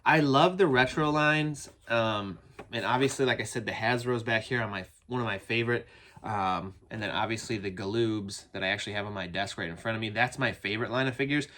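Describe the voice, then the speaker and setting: A man's voice: on the low side, brisk, a bit bright. A man with a cold, sounding nasal and a bit phlegmy, giving a rambling, eager unboxing review of action figures from his toy building. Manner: rambling and chatty, many restarts, eager.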